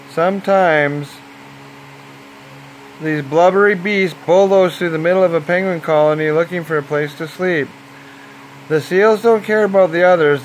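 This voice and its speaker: Male storyteller, slightly high voice, deliberate children's bedtime narration, faint background noise. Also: poor audio. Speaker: storyteller reading a nature story aloud.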